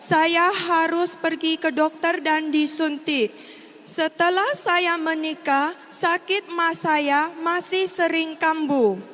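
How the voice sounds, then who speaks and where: Very high-pitched Indonesian woman in a hall. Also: fairly even intonation, slow, fairly bright tone. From Riau, Indonesia. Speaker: testimony speaker. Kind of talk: prepared personal testimony speech. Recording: long hall reverb, faint background noise.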